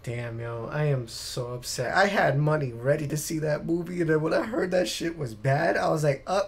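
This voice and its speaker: A man hosting a casual podcast, speaking bluntly with plenty of swearing and getting animated when exasperated. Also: on the high side, moderate pace, husky. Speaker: podcast host. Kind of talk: casual opinionated podcast chat.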